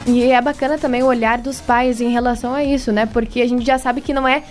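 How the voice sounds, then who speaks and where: A woman's voice: on the high side, fairly even intonation, quick pace, soft background music. A woman with a southern Brazilian accent hosting a conversational radio interview, agreeing with and echoing her guest.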